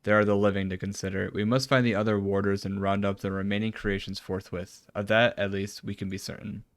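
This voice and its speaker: A man's voice: on the low side, flat delivery, fairly quick, no room sound. A man streaming a video game, reading its formal cutscene dialogue aloud with some stumbles and casual reactions.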